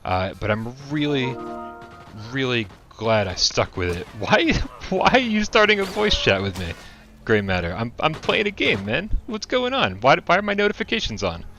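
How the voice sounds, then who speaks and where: A man's voice: mid-range voice, big pitch swings, moderate pace, bright, forceful tone, faint music behind. A man casually commenting on a VR shooter during a livestream, chatty and upbeat, laughing often and full of fillers. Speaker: VR game streamer.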